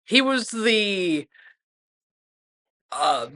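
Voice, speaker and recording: Woman podcast host, bright voice, lots of ums, kinda hesitant when she asks stuff. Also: medium pitch, sing-song, extremely slow. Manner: hesitant, many fillers. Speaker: podcast host asking questions. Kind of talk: casual interview questions.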